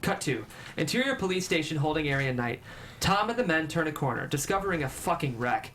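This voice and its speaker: A man's voice: a little high, sing-song, brisk, a bit bright, slight background noise. A man reading stage directions as narrator at a table read, with dramatic storytelling delivery.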